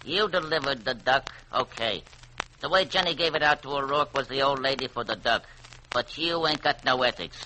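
An actor playing a tough, menacing gangster boss with clipped, slangy threats in an old-time radio detective drama. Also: male voice, mid-range voice, normal speed, bright, forceful tone, close to an everyday voice, poor audio. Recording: vintage radio recording with faint noise.

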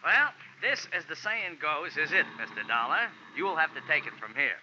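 An actor playing a little racetrack character who talks in stilted, formal slang in 1940s radio style. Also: male voice, on the high side, very animated, normal speed, bright, forceful tone, exaggerated voice. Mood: cautioning. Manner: stilted formal diction without contractions, slangy flourishes. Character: eccentric horse-loving racetrack character, little man, wordy and formal. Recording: dull, noisy 1940s radio recording.

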